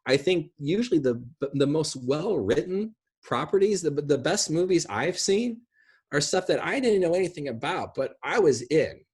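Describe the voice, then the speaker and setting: A middle-aged man with a slightly rough, husky voice answers questions on a video call from home. He explains things informally, rambling from one example to the next. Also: a little high, animated, normal speed, darkish tone. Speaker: guest speaker. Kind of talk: casual explanatory Q&A talk. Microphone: video call microphone.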